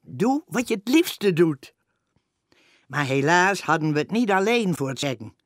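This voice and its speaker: A woman's voice: lowish voice, sing-song, unhurried, no echo, clean studio sound. An actress playing a rest-home nurse who narrates her own story chattily to the listeners of a radio comedy. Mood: fond storytelling. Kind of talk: scripted first-person narration in radio comedy.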